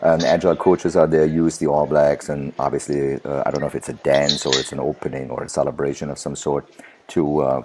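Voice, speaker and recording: A man with a very deep, low voice hosts the conversation. His tone is husky, and he asks questions in a hesitant way, repeating words as he goes. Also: a little mellow. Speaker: podcast host, interviewer. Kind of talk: conversational interview.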